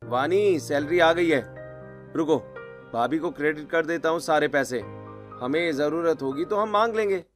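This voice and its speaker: Man as mild young husband, raised pitch. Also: moderate pace, faint music behind, close to an everyday voice. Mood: hesitant, mild. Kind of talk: Hindi moral story dramatized dialogue.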